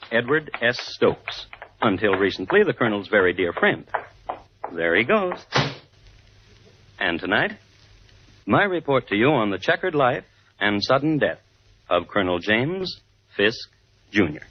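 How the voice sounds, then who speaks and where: An actor plays a gentlemanly connoisseur of murder hosting a vintage radio drama. His mid-range voice is deliberate, morbidly droll and rich in inflection. The broadcast sounds muffled, with music playing. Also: medium pitch, natural voice. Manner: deliberate, deadpan, wry, formal. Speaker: host. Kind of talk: scripted 1950s radio narration.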